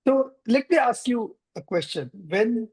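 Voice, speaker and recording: A man's voice: very high-pitched, lively intonation, a little slow, darkish tone, slightly rough. A man hosting a podcast, presenting the show and interviewing. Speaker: podcast host.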